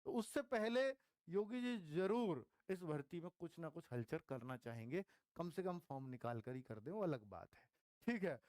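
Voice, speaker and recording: A man's voice: a little high, lively intonation, moderate pace, darkish tone, no room sound. A man, speaking as an exam coach. He explains in an informal, conversational lecture style and restates key points for emphasis. The sound is dry and close, with very little room in it. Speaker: exam coach teaching online.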